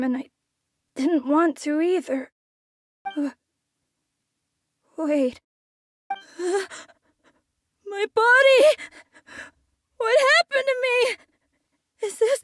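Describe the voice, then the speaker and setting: A woman's voice: very high-pitched, no room sound, studio quality, heavily acted. A woman voicing a timid, innocent little boy monster in a video game, with hesitant childlike delivery. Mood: frightened. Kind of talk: dramatic video game voice acting. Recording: background music under the voice.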